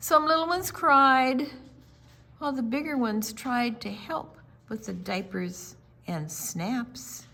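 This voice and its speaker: A woman's voice: a little high, slow, creaky, sharp tone. A woman reading a picture book aloud to young children, expressively, with emphatic words and little explanations along the way. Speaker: children's storytime reader.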